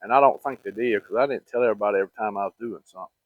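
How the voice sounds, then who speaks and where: A man's voice: lowish voice, racing, no room sound. Folksy Southern man on muffled, phone-like audio. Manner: folksy, rambling, plain-spoken. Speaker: vlogger. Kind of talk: casual personal storytelling.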